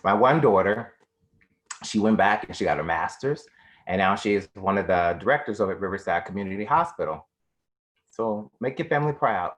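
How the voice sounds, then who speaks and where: A man's voice: lowish voice, expressive, brisk, fairly bright tone. A middle-aged man acting a monologue as a big-hearted, grieving garbage man, earnest and plain-spoken. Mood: encouraging. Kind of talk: acted dramatic monologue.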